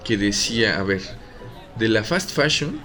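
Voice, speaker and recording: A man's voice: lowish voice, very animated, a little slow, pushed and bright, faint music behind. A man with a Mexican accent co-hosting a casual podcast chat, slangy and joking, rambling with restarts. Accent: Mexican Spanish. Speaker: podcast co-host.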